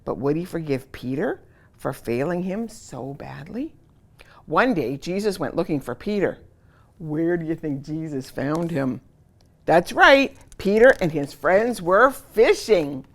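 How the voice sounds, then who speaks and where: A woman hosting a children's story time, reading aloud expressively and putting questions to her young listeners. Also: lowish voice. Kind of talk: animated picture-book read-aloud for children.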